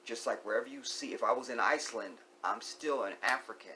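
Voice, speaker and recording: A man vlogging an opinionated monologue. He repeats key phrases, asks the viewer rhetorical questions and sometimes sinks to a hushed whisper. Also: mid-range voice, brisk, a little hiss or hum.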